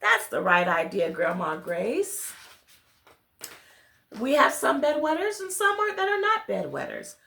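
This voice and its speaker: A woman reading a children's picture book aloud, expressive, speaking directly to her young listeners. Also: sits high, a little slow, creaky, echoey room. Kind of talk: children's read-along with friendly asides to listeners.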